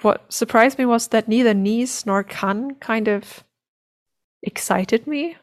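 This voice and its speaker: A woman's voice: on the high side, slow. A woman speaking as a podcast guest, telling travel anecdotes in a casual, informal way.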